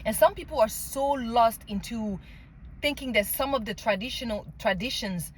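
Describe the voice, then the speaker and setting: A woman's voice: a little high, moderate pace, a little hiss or hum, low-quality recording. A woman from France and Mali with a French accent, telling a personal story casually and emphatically, often checking in with the listener. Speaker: spiritual vlogger sharing personal story.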